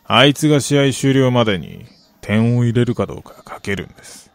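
An actor playing a middle-aged, wistful gambling soccer fan in a radio drama, polite and measured with a rueful, quietly menacing edge. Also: male voice, medium pitch, sing-song, gravelly, dry recording. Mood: quietly challenging. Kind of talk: Japanese radio drama acting.

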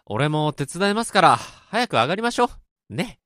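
Man acting a lively, coaxing salaryman, dry sound. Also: a little high, moderate pace, no echo. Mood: coaxing, encouraging. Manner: coaxing, placating. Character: cheerful considerate salaryman soothing gloomy colleagues. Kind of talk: radio drama acting.

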